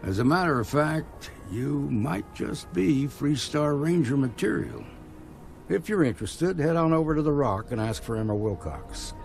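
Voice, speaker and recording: A man's voice: on the high side, sing-song, moderate pace, vocal fry, darkish tone, soft background music. An actor playing a folksy, hearty frontier lawman in a video game, talking in a plain-spoken, approving way. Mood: amused, approving.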